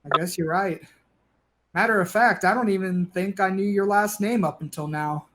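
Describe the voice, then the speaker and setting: A man's voice: high-pitched, normal speed, background noise, natural voice. A man playing a down-on-his-luck, bickering but conciliatory neighbor in a stage play, talking in a wry, colloquial way. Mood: amused, friendly.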